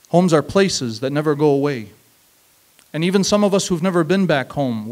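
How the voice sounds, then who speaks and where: Reflective man in his thirties, storytelling. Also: a little high. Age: 30s. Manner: reflective, measured, with emphatic repeated phrases. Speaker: spoken word performer. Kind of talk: open mic storytelling monologue. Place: small stage, seated on a stool. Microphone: handheld mic.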